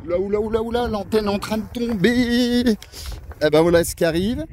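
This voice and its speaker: A man's voice: high-pitched, brisk, darkish tone, slight background noise. A man chatting casually and hesitantly as a radio operator filming himself outdoors, friendly and rambling. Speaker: amateur radio operator filming his contact. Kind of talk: casual radio chat and outdoor vlog narration. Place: outdoors on a windy mountain valley spot. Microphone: handheld phone or camera microphone.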